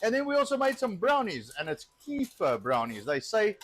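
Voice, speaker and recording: A South African man runs a live cooking show, talking casually as he works. He rambles with plenty of filler words and jokes freely. His voice sits a bit higher than most men's. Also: wildly swooping pitch, moderate pace. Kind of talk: casual live-stream chat while cooking.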